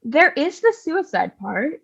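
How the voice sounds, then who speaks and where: A woman's voice: high voice, big pitch swings, slow, gravelly, fairly bright tone, heavily acted. A woman in a scripted comedy, playing a patient storyteller, on a slightly muffled recording. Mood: matter-of-fact. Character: patient storyteller explaining a play.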